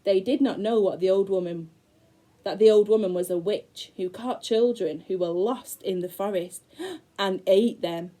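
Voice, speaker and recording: A woman teacher reading a fairy tale aloud to young children, slow and dramatic with gasps. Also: mid-range voice, warm tone. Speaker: primary school teacher.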